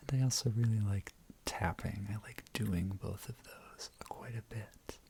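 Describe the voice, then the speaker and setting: A man chatting quietly in a measured, soft-spoken ASMR style that often drops into a whisper. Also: lowish voice, vocal fry, slight background noise. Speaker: ASMR co-host, host's husband.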